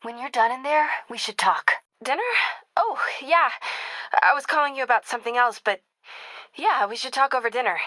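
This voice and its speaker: An actress with an American accent playing a caring, determined young reporter in a video game, speaking in an earnest, conversational, confiding way. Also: female voice, fairly high pitch, lively intonation, moderate pace, pushed and bright, dry recording. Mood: light, warm. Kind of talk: naturalistic video game acting.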